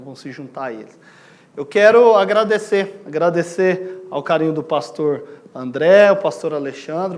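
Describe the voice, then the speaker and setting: A Brazilian man preaching a sermon as a missionary in a conversational way, putting questions to his listeners and checking that they agree. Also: on the high side. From Goiânia, Brazil. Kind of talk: sermon to a congregation.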